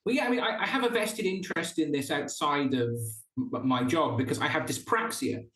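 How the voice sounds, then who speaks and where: A Welsh man with a fairly high voice, talking earnestly as a podcast guest and restarting his thoughts often. The room around him rings noticeably. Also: a little fast, pushed and bright, echoey room, low-quality recording. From South Wales. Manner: earnest, with frequent restarts and stumbles. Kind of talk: casual interview chat.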